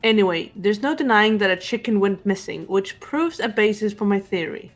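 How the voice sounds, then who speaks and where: A woman's voice: medium pitch, lively intonation, moderate pace, close to an everyday voice. A woman voicing a cool, analytical teenage girl detective in fan-made game dialogue, composed and assertive. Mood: matter-of-fact. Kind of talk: fan-voiced visual novel game dialogue. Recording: music behind the voice.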